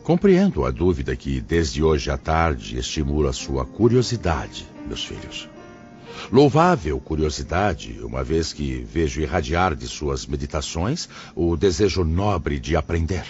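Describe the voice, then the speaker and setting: A man's voice: extremely low pitch, very animated, a little slow, darkish tone, faint music behind, heavily acted. A man playing a kindly, solemn spirit master in a Brazilian audio drama, speaking formally and paternally with a Brazilian accent. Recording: muffled, noticeable background hiss.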